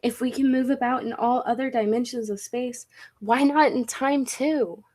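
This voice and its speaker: A woman playing a confident, eccentric professor and inventor in a 1940s-style radio play, speaking in an assured, deliberate way. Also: fairly high pitch, steady tone, dark tone, natural voice. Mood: proud, earnest.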